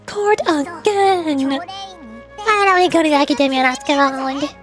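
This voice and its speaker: A woman's voice: sits high, unhurried, fairly bright tone, faint music behind. A woman livestreamer chatting casually in a playful, sleepy manner. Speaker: VTuber streamer voice-acting game dialogue.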